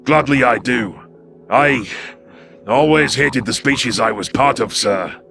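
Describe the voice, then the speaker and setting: A man voicing a scrawny, wary alien predator soldier in an audio drama, gravelly, deferential yet cold and terse. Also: medium pitch, a little slow, sharp tone, voice pushed up. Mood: earnest, deferential. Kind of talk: audio drama character acting. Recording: music behind the voice.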